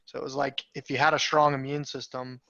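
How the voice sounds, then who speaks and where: A man's voice: mid-range voice, level tone, brisk, fairly bright tone, no echo. A male student in a casual video call with his class, wry and dryly joking. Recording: dry muffled video call audio.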